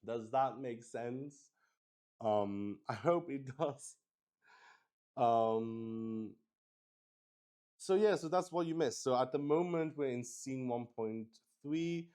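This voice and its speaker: A man's voice: medium pitch, slow pace, long pauses, darkish tone, clean tone. A man streaming a solo tabletop roleplaying game, explaining it casually to viewers in a hesitant, rambling way.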